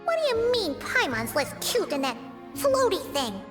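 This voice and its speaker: A woman's voice: extremely high pitch, sing-song, moderate pace. A woman voicing a tiny, childlike, chatty and easily offended floating companion in a video game, speaking in exclamations. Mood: indignant. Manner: refers to herself in third person, exclamatory. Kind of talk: video game character acting. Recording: music behind the voice. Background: game music.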